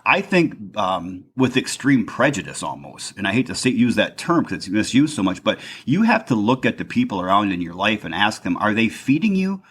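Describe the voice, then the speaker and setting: A man hosting a podcast, chatting with his guest. His voice sits low and a little husky, and it swoops around with lively intonation. He talks loudly and with enthusiasm, circling back to restart his thoughts. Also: normal speed, hardly any pauses. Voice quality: loud. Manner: enthusiastic, rambling, self-interrupting. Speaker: podcast host. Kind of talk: casual interview chat. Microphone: podcast mic.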